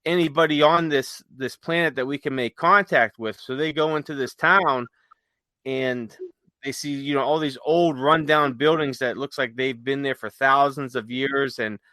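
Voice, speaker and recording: A man podcast host talking casually, telling stories in a halting, conversational way. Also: medium pitch, fairly even intonation, pushed and bright, dry recording. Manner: halting, conversational, retells stories with quoted dialogue. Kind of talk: casual chat, rambling storytelling.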